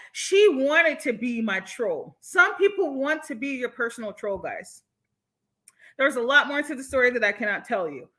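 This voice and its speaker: A woman with an American accent hosting a casual livestream, reading and answering viewer comments in an animated, candid, slangy way. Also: on the high side. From born in Jamaica, moved from the United States. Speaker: livestream host.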